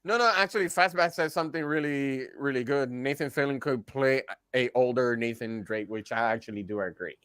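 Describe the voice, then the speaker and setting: A man's voice: mid-range voice, fairly quick, hardly any pauses, fairly bright tone, clear, resonant tone, no echo, studio quality. A man chatting casually as a panelist on a talk show. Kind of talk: casual chat.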